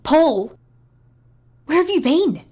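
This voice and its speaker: A woman's voice: high voice, very animated, takes it slowly, warm tone, full character voice. An actress playing a fearless but hurt and caring young adventurer woman in an audio drama. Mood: hurt, relieved.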